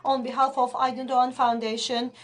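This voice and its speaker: A teenage girl hosts and reads closing remarks in a formal, careful way. Her voice sits a little on the high side. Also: flat delivery, a little fast. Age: teens. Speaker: conference host.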